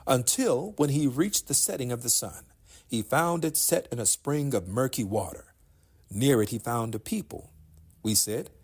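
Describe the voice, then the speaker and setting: Slightly husky man reading scripture. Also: mid-range voice. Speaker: reader of scripture. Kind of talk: solemn scripture recitation in English translation.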